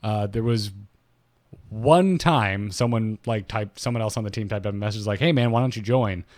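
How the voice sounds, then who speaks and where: A man's voice: lowish voice, normal speed, darkish tone. Chatty man telling a gaming story. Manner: chatty storyteller, stammers and restarts. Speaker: podcast co-host. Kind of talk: casual gaming podcast talk.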